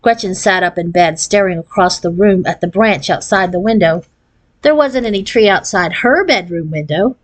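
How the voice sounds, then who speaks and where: A woman reading a children's storybook aloud in an expressive, narrating manner. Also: on the low side, moderate pace. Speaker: audiobook reader.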